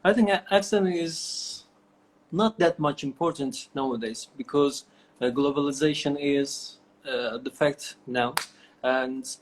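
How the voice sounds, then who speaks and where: A man's voice: mid-range voice, measured pace, a little hiss or hum, poor audio. A middle-aged man with a Turkish accent, answering interview questions over a video call, with many fillers and restarts. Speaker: guest. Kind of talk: online interview.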